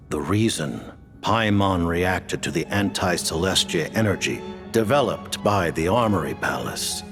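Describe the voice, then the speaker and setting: Ancient aloof game schemer, low gravelly voice, expressive intonation, formal, imperious, music behind. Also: male voice, heavily acted. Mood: explanatory, solemn. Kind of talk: video game cutscene acting. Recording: background music.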